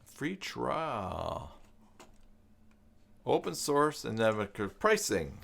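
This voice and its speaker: A man's voice: mid-range voice, sing-song, drawn-out words, vocal fry, a bit bright. A middle-aged man hosting a casual live stream, rambling and thinking aloud as he reads and chats with viewers. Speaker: live stream host, self-employed web tutor.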